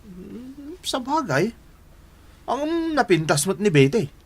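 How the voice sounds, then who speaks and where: An actor playing a nosy, good-natured village buddy in an Ilocano radio drama, prodding with drawn-out words. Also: male voice, very high voice, very animated, husky, heavily acted. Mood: curious, teasing.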